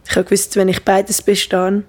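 A woman's voice: mid-range voice, monotone, normal speed, darkish tone. A teenage girl chatting casually in a youthful, slangy way. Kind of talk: casual interview chat. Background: guitar music.

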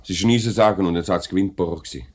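Fair-minded middle-aged factory boss, very low voice, Swiss German, polite and deliberate, vintage radio play. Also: male voice, a little mellow. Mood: polite, firm. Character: measured, fair-minded male factory director. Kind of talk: 1950s Swiss radio drama acting.

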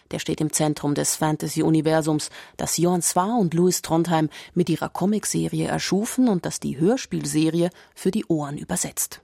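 Creaky-voiced woman reporter, dry studio sound. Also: deep voice, darkish tone, dry recording. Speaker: radio culture reporter. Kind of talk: scripted narration.

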